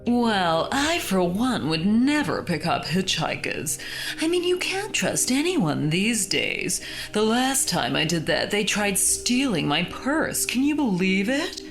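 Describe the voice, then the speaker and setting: A woman's voice: medium pitch, big pitch swings, takes it slowly. A woman playing a feisty, gossipy older hostess of a horror story show, chatty, arch and theatrical. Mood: indignant boasting. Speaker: show host. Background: music.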